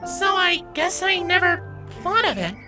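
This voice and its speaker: A woman's voice: very high-pitched, big pitch swings, unhurried, music in the background, heavily acted. A woman voicing a shy, bookish young changeling princess filly in a fan fiction reading, with a hesitant, earnest manner. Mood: hesitant, awkward.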